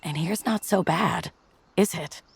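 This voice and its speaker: An easygoing, frank woman, played by a voice actress in a motion comic. Her voice is low for a woman and dry in the recording, and she talks casually with a bit of slang. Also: unhurried, gravelly, husky, no echo, heavily acted. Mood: consoling.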